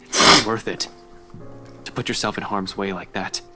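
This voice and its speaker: Actor as a worried young man, lowish pitch, grave, protective, cautioning, horror game dialogue, loud music underneath. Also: normal speed, close to an everyday voice. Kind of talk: visual novel voice acting.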